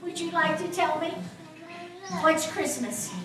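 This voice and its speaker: A high-pitched woman tells a story to young children at a puppet show. She speaks slowly in simple phrases, recorded from a distance in a very echoey room. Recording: very echoey room, distant mic, music behind.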